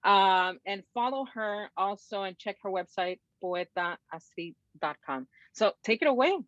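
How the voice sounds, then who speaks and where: A woman's voice: mid-range voice, lively intonation, a little slow, a bit bright, no echo. A woman with a Salvadoran accent hosts an online poetry reading over a video call. The audio is dry and a little dull and lo-fi. She talks casually, mixing Spanish phrases into her introduction. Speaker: event host. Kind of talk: introducing a performer.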